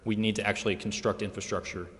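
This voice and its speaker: Lowish hesitant man in a hall. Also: level tone, fast talker, a bit bright. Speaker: consulting engineer answering questions. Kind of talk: technical answers at a public meeting. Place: council chamber. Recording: reverberant meeting hall.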